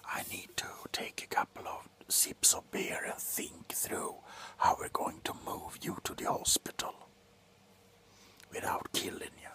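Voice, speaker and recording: A tipsy man playing a callous, grumpy doctor in a slow, sullen ASMR roleplay, whispering sarcastically up close. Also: bright, forceful tone. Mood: stern, menacing. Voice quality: breathy whisper. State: drunk. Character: grumpy, callous, drunken male doctor on a house call. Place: small cluttered room indoors.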